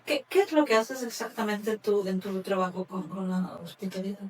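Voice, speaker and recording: A woman's voice: medium pitch, moderate pace, darkish tone, slight background noise. A woman host with a mid-range voice asks questions and keeps the guest going with short affirmations. She sounds a little distant from the microphone. Her tone stays attentive. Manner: attentive, frequent brief affirmations. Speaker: podcast host. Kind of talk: interview. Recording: distant mic.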